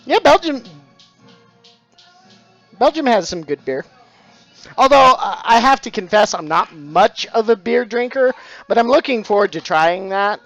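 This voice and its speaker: A man's voice: high-pitched, very animated, measured pace, pauses often, a bit bright, no echo, dull audio, soft background music. A middle-aged man, chatting casually on a live stream about his craft, full of dad jokes and thanks to followers. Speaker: stained glass streamer.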